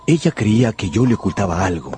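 An actor playing a deferential young tutor who narrates a radio drama in a formal, literary way. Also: male voice, fairly low pitch, big pitch swings, brisk, noisy background. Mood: narrating. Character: young tutor of twenty-five, recounting events, deferential. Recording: music behind the voice.

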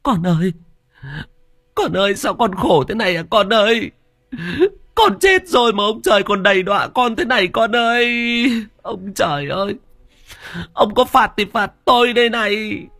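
A man's voice: sits high, expressive, moderate pace, bright, forceful tone, dry recording, voice pushed up, full character voice. A man voicing an elderly mother who is crying and wailing over her dead son.

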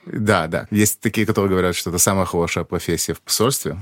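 Very deep-voiced man in his thirties. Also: steady tone, fast talker, no echo, studio quality. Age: 30s. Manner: plain, explaining simply to a child. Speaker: embassy press secretary, guest. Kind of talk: casual interview.

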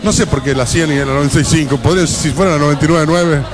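A man's voice: fairly high pitch, very fast. A middle-aged man with an Argentine Rioplatense accent, chatting casually as a radio co-host. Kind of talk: casual live radio banter. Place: bar, live radio broadcast. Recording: music behind, live from a bar. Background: music.

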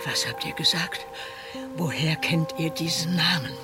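A woman's voice: low voice, measured pace, music in the background. An actress playing a fierce, vengeful and suspicious frontier woman in a German radio play. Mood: shaken, intense. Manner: wary, intense.

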